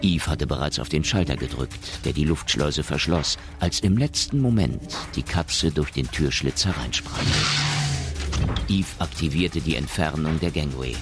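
An actor narrating a science fiction radio drama as a sober storyteller. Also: male voice, extremely low pitch, animated, a little slow, over music, full character voice. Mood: sober, measured.

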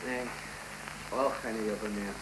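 A young factory worker in a 1930s German radio drama. He is dejected and speaks slowly in short, halting phrases. The old recording carries some noise. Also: lowish voice, steady tone. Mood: dejected, subdued. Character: downcast young working man, a dismissed factory smith. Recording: noisy 1931 radio recording.

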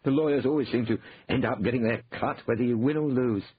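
A man's voice: mid-range voice, quick pace, breathy edge, no echo, narrow, tinny audio, pitched above the performer's own voice, close to an everyday voice. A man voicing an anxious, tight-fisted shopkeeper in an audiobook, flustered, incredulous and evasive.

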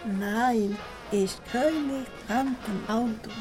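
An actress playing a sultry, obliging German chauffeur with a German accent and a flirtatious, drawn-out delivery. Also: female voice, a little high, music in the background, natural voice. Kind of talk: comic radio drama acting.

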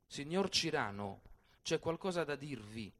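A man's voice: on the high side, expressive, a little slow, a bit bright, long reverb tail. A man playing an insistent governess bringing messages in a theatrical radio play, with a raised, emphatic delivery. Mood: insistent, brisk. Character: Rossana's governess, insistent messenger woman, played by a man.

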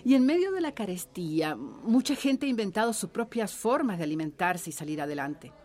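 An actress playing an earnest, resolute villager making a persuasive case in a radio drama. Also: female voice, medium pitch, big pitch swings, brisk, a little mellow, close to an everyday voice. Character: earnest, resolute villager urging self-sufficiency.

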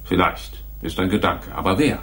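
A man's voice: low-pitched, a bit bright. An actor playing a big, tough, shady man in a noir radio play, skeptical and sardonic as he bargains. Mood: wary. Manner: sardonic, wary, deliberate. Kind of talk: 1980s German radio play, noir dialogue.

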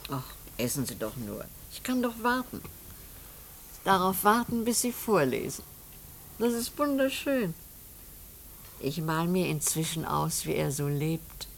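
An actress playing an elderly, devoted mother in a German radio play, chatty and wistful, with halting, tender phrasing. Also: sits low, very animated, creaky, a little hiss or hum, heavily acted. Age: older. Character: elderly devoted mother, pious, chatty, anxious for her son.

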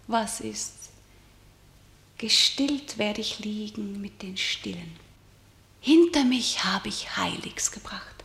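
A defiant young princess in a classical verse radio play, fierce and unbending, sliding into hushed whispers. Her voice sits fairly high. The studio gives it a roomy echo. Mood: resolute. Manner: intense, shifts to hushed whispers. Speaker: lead actor in a radio play.

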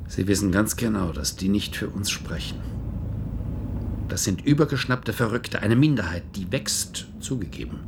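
An intense rebel husband, very deep voice, radio drama, bit of background noise. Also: male voice, normal speed, vocal fry. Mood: indignant. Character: defiant male political dissident, protective husband. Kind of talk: German radio play acting.

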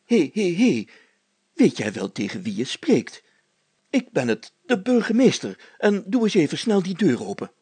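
A man's voice: high voice, wildly swooping pitch, measured pace, dark tone, husky, no room sound, pitched above the performer's own voice, heavily acted. A man voicing a pompous, flustered burgomaster in a story, with blustering, old-fashioned formal speech. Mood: flustered, indignant. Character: pompous, flustered male burgomaster and police chief.

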